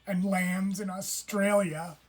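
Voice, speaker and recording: A man's voice: high-pitched, little pitch movement, slow pace, faint music behind. A man voicing a sulky young boy in a storybook, with whiny, dramatic complaints. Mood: spiteful. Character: grumpy, sulky young boy having a rotten day.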